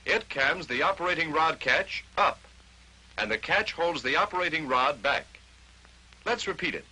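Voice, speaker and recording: A man's voice: on the high side, expressive, moderate pace, pushed and bright, slightly rough, low-quality recording. An American man narrating a 1940s instructional training film, explaining step by step in short declarative statements on a vintage film soundtrack.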